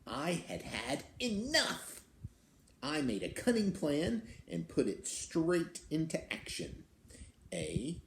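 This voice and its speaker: A man's voice: on the high side, wildly swooping pitch, takes it slowly, creaky, pitched above the performer's own voice, exaggerated voice. Man voicing an indignant, swooping little wild beast. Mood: defiant, gleeful. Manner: theatrical, aggrieved storytelling. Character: indignant small wild tree-dwelling beast telling its tale. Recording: roomy echo, some background noise.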